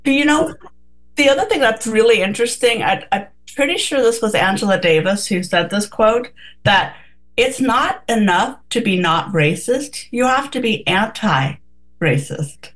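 A woman's voice: fairly high pitch, lively intonation, measured pace, rough recording. A woman co-hosting a casual radio talk, speaking earnestly and reflectively with many restarts.

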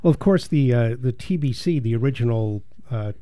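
A man's voice: medium pitch, lively intonation, brisk, warm tone. A man hosting an interview, asking friendly questions with small stumbles and restarts. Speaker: interview host. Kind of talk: casual interview.

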